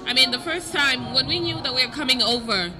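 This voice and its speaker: A young speaker from Zimbabwe, telling a personal story in a soft, matter-of-fact way. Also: high-pitched, brisk. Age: young adult. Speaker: youth speaker. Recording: loud music behind.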